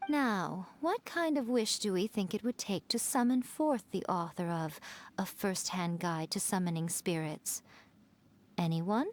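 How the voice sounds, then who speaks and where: A woman voicing a sly, elegant fox spirit in a video game, teasing and coaxing in an unhurried, playfully condescending way. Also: on the low side, natural voice. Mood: coaxing, instructive. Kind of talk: video game character dialogue.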